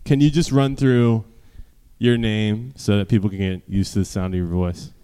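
A man's voice: lowish voice, fairly even intonation, moderate pace, mellow, clean tone, big-room sound. A man hosting a casual podcast chat with a band, laid-back, laughing often and swearing casually. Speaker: podcast host.

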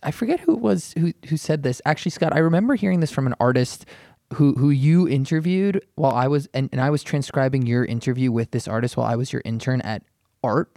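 A man chats as a podcast panelist with a noticeable fry in his mid-pitched voice. He is informal and slightly wry, rephrasing himself as he explains. The sound is close and dry. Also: quick pace, a little mellow, no room sound. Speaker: podcast panelist and art writer. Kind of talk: casual panel discussion.

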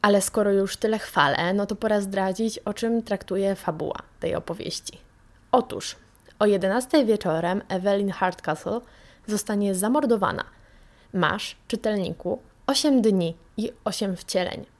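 A woman's voice: medium pitch, normal speed. A woman presents a radio book review in an enthusiastic, conversational way as the host. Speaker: radio host recommending books.

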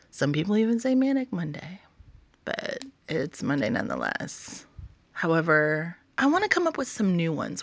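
A woman recording an intimate, flirty voice message for followers, with a sultry, teasing manner that dips toward breathy near-whispers. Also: lowish voice, no echo. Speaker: adult content creator.

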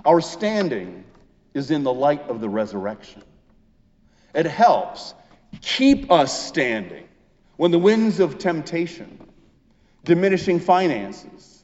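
A man delivers a sermon in the role of a pastor. He speaks very slowly at a medium pitch, stressing phrases and leaving deliberate pauses. The sound is slightly dull, with long reverberation from a large hall. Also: sing-song, a little mellow. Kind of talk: Easter sermon, reading and expounding scripture.

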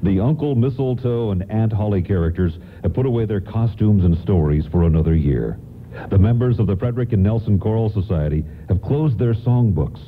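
A man's voice: on the low side, animated, brisk, a little hiss or hum. A man narrating a radio play in a scripted storytelling style. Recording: muffled radio broadcast.

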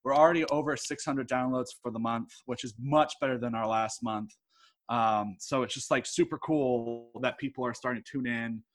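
A man in his late twenties from Texas chats as a guest on a podcast. He talks quickly and eagerly, rambling through his plans with plenty of "like" and "uh". His pitch sits in the middle of a man's range. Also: fairly even intonation. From Texas, USA. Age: 20s. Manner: enthusiastic and rambling, heavy use of "like" and "uh". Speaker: podcast guest, host of a film podcast. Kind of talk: casual podcast chat.

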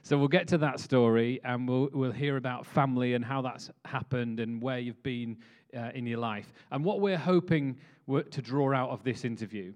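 Male host, church meeting interviewer, halting and friendly, average pitch. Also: darkish tone. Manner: hesitant, friendly, lots of fillers and laughs.

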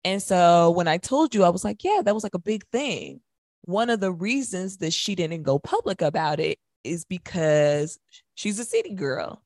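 Low-voiced woman chatting, dry close sound. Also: lively intonation, moderate pace, a little mellow, no echo. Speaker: radio show co-host. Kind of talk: casual chat.

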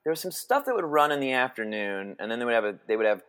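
A man in his forties from Texas, a guest chatting in an interview, who talks in halting bursts with many restarts. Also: medium pitch, sing-song, a bit bright, smooth. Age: 40s. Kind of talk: casual interview chat.

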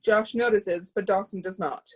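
A woman's voice: medium pitch, moderate pace, darkish tone, slightly rough. A woman narrating stage directions from a script at a screenplay reading.

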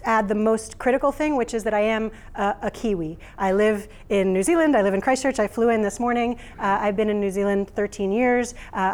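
High-voiced American woman in her thirties, lively speaker addressing a room. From United States. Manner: animated, lively, laughs while making points. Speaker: keynote speaker. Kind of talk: live talk to an audience. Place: workspace event room.

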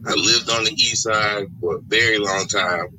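A man from Atlanta with an Atlanta Southern accent, a podcast guest talking in a rambling, animated way and laughing often. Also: fairly low pitch, normal speed. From Atlanta, Georgia. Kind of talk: casual storytelling chat. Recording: phone call with faint background noise.

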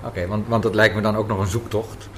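A man's voice: lowish voice, flat delivery, fast, a crowd in the background. A man conducting a conversational interview as the interviewer, recorded on location. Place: on location at an Amsterdam café and square. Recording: noisy on-location recording with city ambience.

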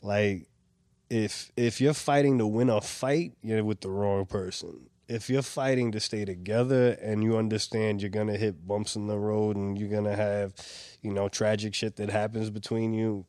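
Man, middle-aged, from San Francisco, lowish voice, casual and profane, filler-heavy chat, dry close mic. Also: steady tone, normal speed, darkish tone, no echo. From San Francisco, USA. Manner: loose and profane, full of "like" and "you know". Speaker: guest.

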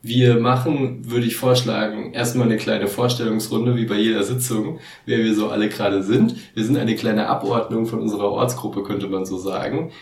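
A young man in his twenties hosting a casual podcast, with a low, gravelly voice. He asks questions in a relaxed, friendly way, heard from some distance in an echoey room. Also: normal speed, hardly any pauses. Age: 20s. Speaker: podcast host. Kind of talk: casual interview. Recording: roomy echo, mic at a distance.

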